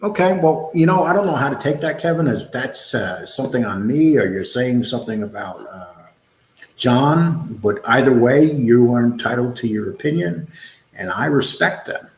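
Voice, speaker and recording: A New York-accented man hosts a live show and answers the chat in blunt, combative terms, at a mid-range pitch. His talk is full of fillers and restarts. The echoey room gives the voice a hollow ring. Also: lively intonation, normal speed. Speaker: host of a live online show. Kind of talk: live-stream chat, answering viewer comments.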